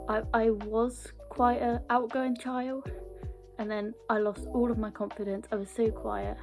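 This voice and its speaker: A young British woman with a mild stammer who talks earnestly to camera in a casual personal style and sometimes repeats small words. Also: mid-range voice. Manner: earnest, with small word repetitions. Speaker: video blogger. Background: background music.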